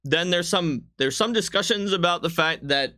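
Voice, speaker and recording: Bright-voiced man explaining game rules. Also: on the high side, normal speed. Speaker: game designer presenting on a company livestream. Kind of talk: casual explanatory chat about game rules.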